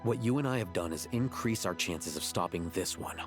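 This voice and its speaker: A calm, deep, unflappable scholar. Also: male voice, normal speed, music in the background. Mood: calm, dry. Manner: calm, matter-of-fact, dry. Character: composed young male scholar, dry, unflappable. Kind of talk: game cutscene voice acting.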